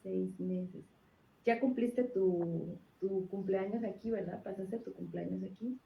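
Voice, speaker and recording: A woman conducting an interview, asking short, simple questions in a gentle style. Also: mid-range voice, slow pace, vocal fry, muffled recording. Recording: roomy, faintly noisy played-back video.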